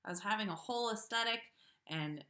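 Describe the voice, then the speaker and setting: Expressive woman, informal storyteller. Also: medium pitch, a little fast. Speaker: podcast host reading listener stories. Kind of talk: casual livestream chat, then storybook read-aloud.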